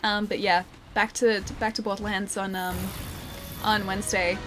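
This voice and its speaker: A woman's voice: mid-range voice, flat delivery, normal speed, fairly bright tone. A young Australian woman streaming a game, chatting casually and answering her viewers. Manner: chatty, upbeat, reads chat aloud and answers viewers. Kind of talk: casual live-stream chat while gaming. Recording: game music playing behind the voice.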